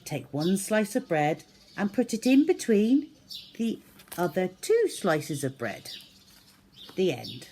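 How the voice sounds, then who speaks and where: A woman reading a children's book aloud as a storyteller, in an expressive, theatrical manner. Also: medium pitch, slow, long pauses, slight background noise. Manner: animated, theatrical, with acted-out sound effects.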